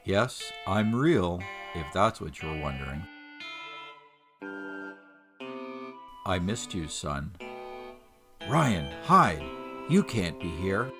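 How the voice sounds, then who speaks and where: A man playing a calm, reassuring adventurer father in an audio drama, long separated from his son. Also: low voice, slow pace, music in the background, full character voice. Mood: tender, urgent.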